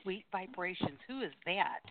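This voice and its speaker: A woman co-hosting a casual chat, quick to react and amused. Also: fairly low pitch, animated, crowd noise. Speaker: co-host. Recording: tinny call audio, faint noise.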